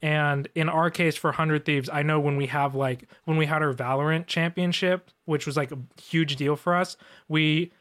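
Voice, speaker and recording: Slightly high man explaining casually. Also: fairly even intonation, normal speed, a bit bright. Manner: explanatory, laid-back, filler-heavy. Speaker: guest designer. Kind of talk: casual live Q&A.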